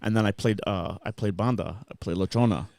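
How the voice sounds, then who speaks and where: A man chatting casually on a DJ podcast, telling stories in slangy, animated talk with plenty of swearing. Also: on the low side, brisk, creaky. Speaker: club DJ and podcast guest.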